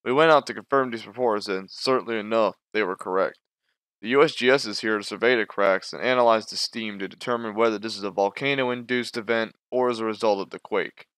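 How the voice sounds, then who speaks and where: A male park ranger played by a man makes a measured official statement. His voice sits slightly low and is clean and smooth, recorded very dry. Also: fairly even intonation, fairly bright tone, no echo, natural voice. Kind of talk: scripted formal statement.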